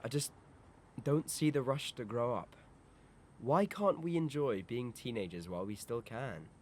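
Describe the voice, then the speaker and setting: A man's voice: animated, unhurried, darkish tone, lightly acted. A young man playing a carefree, fun-loving teenage lad who talks in a casual, matey way in an amateur audio drama. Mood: defensive, carefree. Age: young adult. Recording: noisy amateur recording, environmental rumble.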